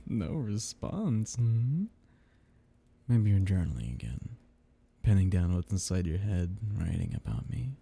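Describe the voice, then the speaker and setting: A man playing a seductive, teasing, menacing vampire noble in an intimate ASMR roleplay, in a breathy, gravelly murmur. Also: animated, heavily acted. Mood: teasing, mocking. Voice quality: husky, breathy, low murmur with gravelly edges. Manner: slow, teasing drawl with soft whispered dips.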